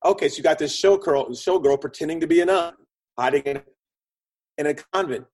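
A man speaking as an expert interview guest, explaining conversationally in an animated way with short punchy phrases and a slightly husky voice. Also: on the high side, fairly quick. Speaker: interview guest, screenwriting consultant and producer.

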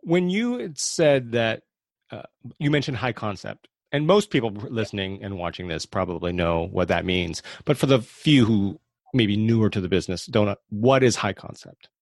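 A man's voice: on the low side, normal speed, no room sound, studio quality. A man hosting a podcast interview, talking casually and conversationally with his guest.

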